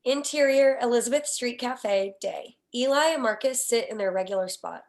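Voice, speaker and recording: A woman with a fairly high voice narrates a screenplay. She reads scene headings and descriptions as scripted narration, slipping in wry, sassy asides. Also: moderate pace. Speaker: narrator reading screenplay stage directions.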